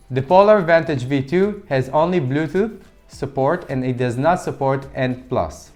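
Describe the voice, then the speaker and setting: A man whose first language is Hebrew, reviewing a sports watch in a plain, methodical voice-over with occasional stumbles. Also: medium pitch. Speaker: gear reviewer.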